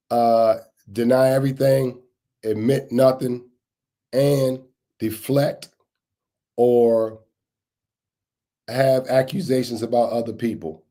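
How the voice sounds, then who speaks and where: A man hosting a casual live stream, his voice a bit raspy as he gets over a cold, greeting chat viewers by name and repeating points for emphasis. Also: medium pitch, mellow. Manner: repetitive, emphatic phrasing. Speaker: livestream host on security news.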